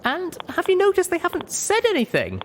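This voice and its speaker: A man voicing a refined, thoughtful female pony in a hushed, careful way for an audio story. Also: very high voice, huge pitch swings, a little fast, a little hiss or hum, pitched above the performer's own voice, exaggerated voice. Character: Velvet Remedy, refined female pony, soft-spoken and thoughtful.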